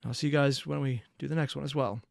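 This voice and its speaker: Casual commentary host, medium-pitched, invested and halting, in a dry close recording. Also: fairly even intonation, gravelly, darkish tone, no room sound. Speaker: reaction video host.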